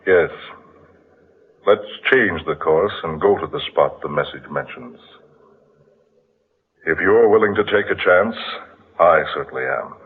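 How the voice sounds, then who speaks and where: An actor playing an earnest, persuasive ship's officer with an emphatic, formal manner, in old-time radio drama style. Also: male voice, low voice, a little slow, pauses often, breathy edge, full character voice. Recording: muffled, noisy old radio recording.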